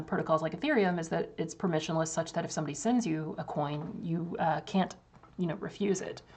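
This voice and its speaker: Gravelly, hoarse woman explaining code. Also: fairly low pitch, darkish tone. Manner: casual, loose, many fillers and restarts. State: losing her voice. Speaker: developer demoing software. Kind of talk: screen-share tech walkthrough.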